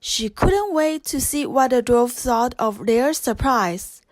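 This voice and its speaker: A woman narrating a scripted children's story with gentle, careful phrasing. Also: on the high side, slow pace, no room sound. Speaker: audiobook narrator.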